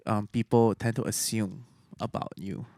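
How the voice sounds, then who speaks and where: A man's voice: lowish voice, fairly even intonation, normal speed, warm tone, no echo. Singaporean man hosting, dry close sound. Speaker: podcast host. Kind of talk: casual conversational interviewing.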